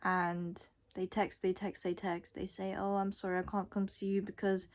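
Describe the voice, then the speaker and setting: A woman's voice: mid-range voice, flat delivery, moderate pace. A young adult woman vlogger giving a casual, unscripted monologue in a rambling, blunt way with casual swearing. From Scotland and Thailand.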